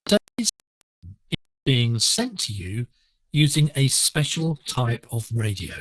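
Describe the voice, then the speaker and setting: A man presenting a scripted radio lesson in a measured, instructive way, heard over a digital shortwave broadcast. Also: medium pitch, big pitch swings, a bit bright, no echo, rough recording. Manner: measured, didactic.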